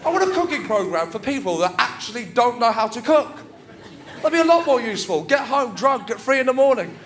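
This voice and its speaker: Young man with a nasal voice, high-pitched. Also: a crowd in the background. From England. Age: young adult. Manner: fast deadpan storytelling to a laughing audience. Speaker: stand-up comedian. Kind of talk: stand-up routine. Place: TV studio stage with live audience. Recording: loud studio audience laughter.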